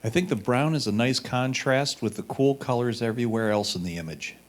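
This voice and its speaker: A man's voice: medium pitch, fairly quick. A man reading a judge's written critiques aloud as a competition reader.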